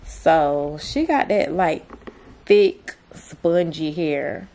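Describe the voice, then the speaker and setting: A young woman chatting casually to camera and to her child as a vlogger, in informal, slangy, drawn-out phrases. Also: low voice, warm tone. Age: young adult. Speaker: vlogger, mother. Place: small plain room indoors.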